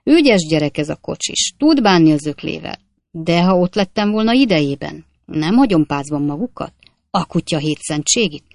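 A woman's voice: sits low, lively intonation, gravelly, darkish tone, no echo, clean studio sound. A woman voicing a boastful, talkative male swindler who speaks in short, clipped fragments. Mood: boasting. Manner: clipped, breathless fragment sentences. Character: boastful chatty male swindler, young gent.

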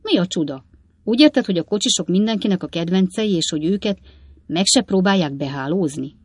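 A woman's voice: lowish voice, expressive, slightly rough, no echo. A woman voicing a coachman's wry, teasing grown son in a storybook reading, with short questioning remarks. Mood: doubting.

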